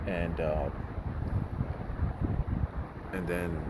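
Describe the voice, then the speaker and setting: A deep-voiced man rambles through a casual monologue, stopping and restarting his thoughts. He is outdoors by the water, and loud background noise from boats and traffic runs under his voice. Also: level tone, drawn-out words. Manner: loose and rambling, many fillers and restarts. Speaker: video creator.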